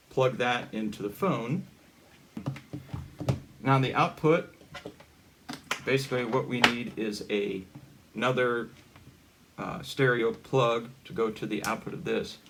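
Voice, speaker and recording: A man presenting a hobby gear tutorial in a casual, unscripted way, with halting, rambling explanations. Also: mid-range voice, creaky, fairly bright tone. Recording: noticeable background noise with clicks.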